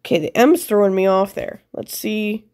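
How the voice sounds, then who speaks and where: A woman's voice: mid-range voice, moderate pace, a little mellow. A woman with a stuffed-up nose casually thinks aloud over a puzzle game, a little self-deprecating. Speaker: player commenting on own gameplay.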